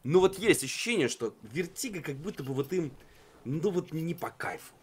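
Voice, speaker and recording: A man's voice: fairly high pitch, animated, moderate pace, breathy edge. A man giving unscripted live commentary on an esports match in a colloquial, musing way.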